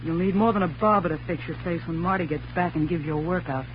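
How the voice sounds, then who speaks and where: An actress playing a hard-boiled, impatient gangster moll in a 1940s radio drama, speaking in curt, tough slang. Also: female voice, on the low side, quick pace, close to an everyday voice. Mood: curt, threatening. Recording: muffled old radio recording, faint hiss.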